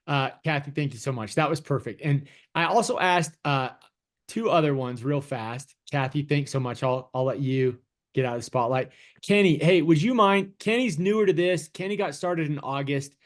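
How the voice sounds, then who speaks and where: Man, host of a business call; fairly high voice, eager and hurried. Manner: upbeat, hurried, repeats words. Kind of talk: casual pitch on a video call. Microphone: video call.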